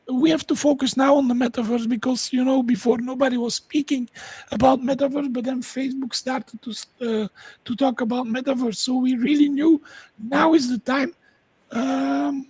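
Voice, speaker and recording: A woman's voice: sits high, darkish tone, no room sound, low-quality recording. A woman from Belgium with a Flemish accent, enthusiastically explaining projects as an interview guest. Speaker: podcast guest, tech entrepreneur. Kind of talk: conversational pitch of own projects.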